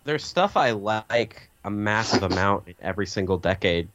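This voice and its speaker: A man in his twenties co-hosting a casual call-in chat, talking in a measured, wordy way with dry humor. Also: on the low side, animated, dull audio, noisy background. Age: 20s. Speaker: podcast co-host.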